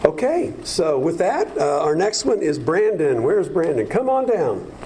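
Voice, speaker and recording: A man's voice: a little high, big pitch swings, measured pace, background noise. A man presenting district data to a school board, explaining at length with frequent fillers and restarts.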